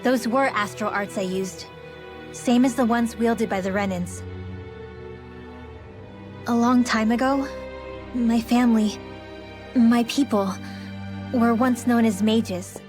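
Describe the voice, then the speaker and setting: A soft music bed runs under a young female mage. She sounds fairly high, guarded and serious, with a defiant edge suited to game drama. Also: slow, long pauses. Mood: solemn. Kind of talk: dramatic video game voice acting.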